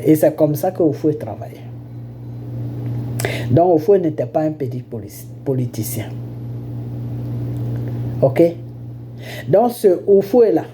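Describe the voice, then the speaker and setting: An Ivorian woman speaks in a lowish, very dark and soft-edged voice, thickened by a head cold. She delivers informal political commentary like someone telling a story, checking in with her listeners and posing rhetorical questions as she goes. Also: a little hiss or hum. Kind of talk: informal spoken political monologue.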